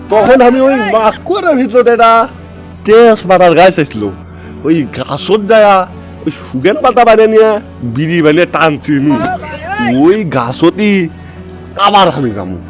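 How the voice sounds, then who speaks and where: A man's voice: high-pitched, very animated, normal speed, faint music behind. A man voicing a scolding, scheming middle-aged village father in a comic cartoon, grumbling and threatening in a Bogra accent from northern Bangladesh. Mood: musing. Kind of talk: comic cartoon dubbing in rural dialect.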